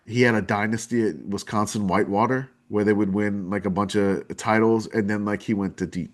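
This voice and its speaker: A man's voice: fairly low pitch, fairly even intonation, brisk. A man with a New Orleans drawl chatting casually as a podcast guest, rambling and enthusiastic with lots of fillers. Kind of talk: casual chat.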